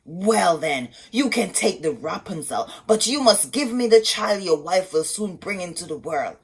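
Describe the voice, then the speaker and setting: A woman's voice: fairly low pitch, lively intonation, unhurried, slightly rough. A woman voicing a wicked, angry sorceress in a children's storybook, stern and threatening. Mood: bargaining. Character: angry wicked old sorceress, menacing and bargaining. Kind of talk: children's storybook read-aloud.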